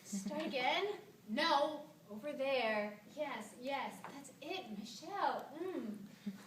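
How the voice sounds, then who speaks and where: A woman stage performer reciting scripted lines live in short, deliberate phrases. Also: high-pitched, lively intonation, a bit bright, slight background noise, full character voice. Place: stage in a hall. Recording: roomy, distant live theatre recording.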